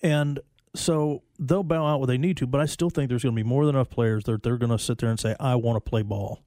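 A man's voice: medium pitch, steady tone, a little fast, dark tone, no room sound, clean studio sound. A man co-hosting a casual sports talk show, talking eagerly and rambling through his points. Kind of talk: casual sports radio chat.

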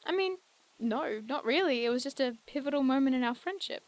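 A woman's voice: sits high, lively intonation, brisk. An actress playing a curious, earnest and quirky young woman investigator in an audio drama, speaking matter-of-factly about odd things. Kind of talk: naturalistic audio drama dialogue.

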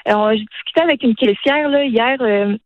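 A woman's voice: on the high side, no echo, highs cut off. A teenage girl with a Quebec French accent, a radio interview guest talking earnestly, often tagging her sentences with "là". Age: teens. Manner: earnest, fast-talking, frequent "là" tags.

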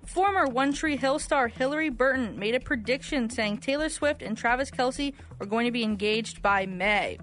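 A woman's voice: a little high, moderate pace, few pauses, a bit bright. A woman reading trivia questions as a quiz host on morning radio, in a studio with music underneath. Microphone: studio radio microphone.

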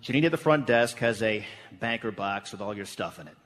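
A man's voice: lowish voice, fairly quick, a bit bright, lightly acted. A man playing an awkward, apologetic radio station boss, stammering politely in a comedic radio play. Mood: politely firm. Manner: hesitant, stammering corporate politeness. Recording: echoey theatre hall.

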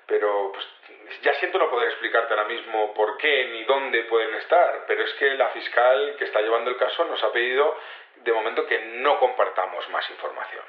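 A roomy, slightly dull radio-style recording of an actor as a male security investigator in an audio drama interview. He explains his case patiently in a colloquial, halting way, repeating words and checking with tag questions. His pitch sits in an ordinary male middle. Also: lightly acted. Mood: explanatory, guarded.

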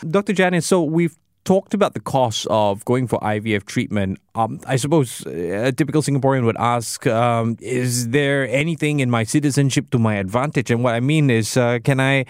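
A man's voice: medium pitch, moderate pace, clear, resonant tone, no echo, studio quality. A man hosting a radio talk show, asking rambling, chatty questions with fillers. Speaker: radio host. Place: radio studio. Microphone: studio mic.

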